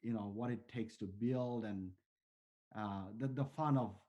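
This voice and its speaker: A man's voice: lowish voice, a little slow, dull audio. A man in his forties from Bangladesh, a startup founder answering interview questions in an earnest, rambling way. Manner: earnest, talks in long strings of restarts and fillers.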